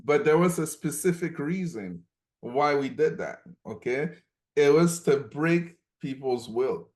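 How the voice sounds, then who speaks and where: A man's voice: on the high side, animated, measured pace, a little mellow. A young man from Cameroon sharing stories on a group coaching call, emphatic and repetitive, often checking in with "okay?". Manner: emphatic, repeats points, frequent "okay?" check-ins.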